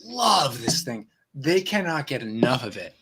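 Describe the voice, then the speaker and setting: A man's voice: mid-range voice, very animated, unhurried, a bit bright. A man in a loose, rambling live-stream chat, swearing freely and joking crudely. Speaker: guest on a beer livestream. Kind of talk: crude casual banter.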